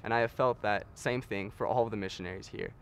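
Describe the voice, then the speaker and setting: A man's voice: fairly low pitch, steady tone, fast. A young man from Texas, a missionary, speaking earnestly and reflectively in an outdoor interview. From Texas, USA. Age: young adult.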